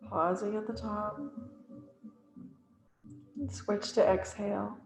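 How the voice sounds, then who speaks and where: A woman yoga teacher calmly guiding a breathing exercise, with long pauses between cues. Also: medium pitch, creaky, slight background noise. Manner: slow, calm and soothing, with long pauses. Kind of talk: guided breathing instruction. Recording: echoey room with faint ambience.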